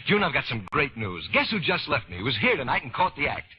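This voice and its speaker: A man's voice: medium pitch, big pitch swings, fast, natural voice. A male actor as an eager vaudeville suitor in an old-time radio play. He is insistent and melodramatic, with sweeping intonation, on a muffled broadcast with some background noise. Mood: excited. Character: eager, persuasive male vaudeville partner wooing a married woman.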